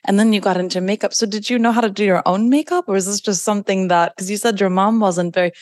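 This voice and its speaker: A woman's voice: mid-range voice, fairly even intonation, fast, darkish tone, no room sound. A woman hosting a podcast interview, speaking with effusive enthusiasm. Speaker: podcast host.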